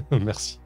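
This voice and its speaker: A man narrating as game master of a tabletop game, hesitant, with many fillers and restarts. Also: bright, forceful tone.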